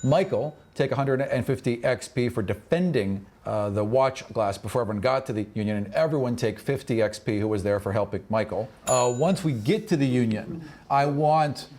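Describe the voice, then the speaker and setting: A man's voice: mid-range voice, normal speed, darkish tone. A man speaking as game master and host of a fantasy tabletop podcast.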